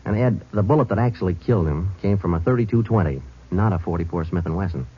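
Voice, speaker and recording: An actor playing a weary, businesslike American police detective in an old radio drama, narrating in a clipped, deadpan, matter-of-fact way. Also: male voice, deep voice, fast talker, slightly rough. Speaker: lead actor and first-person narrator. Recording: muffled old radio recording with music.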